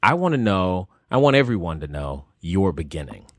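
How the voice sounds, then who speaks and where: A man hosting a podcast interview, pitching his site and introducing his guest with enthusiastic, salesy energy. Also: big pitch swings, moderate pace, no room sound, clean studio sound. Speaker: podcast host.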